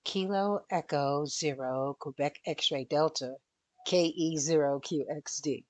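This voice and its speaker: A woman gives a prepared presentation as a team leader. Her voice is low and slow, recorded close and dry with very little room sound. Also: no room sound. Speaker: volunteer examiner team leader.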